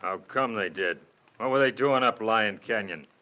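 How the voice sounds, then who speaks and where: An actor playing a gruff, suspicious frontier doctor who uses pompous medical words, on a lo-fi vintage radio recording. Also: male voice, lowish voice, normal speed, sharp tone. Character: suspicious male frontier doctor in league with outlaws. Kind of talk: 1940s radio acting.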